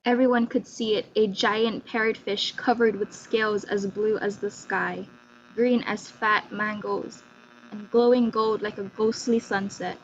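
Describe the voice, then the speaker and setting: A woman's voice: mid-range voice, fairly even intonation, a little slow. A woman telling a scripted folktale as narrator with a dramatic storytelling delivery. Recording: muffled lo-fi, faint hum.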